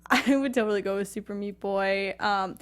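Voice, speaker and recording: A woman's voice: mid-range voice, normal speed. A woman co-hosting a talk show, chatting casually about films and games. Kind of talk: casual film and video game discussion.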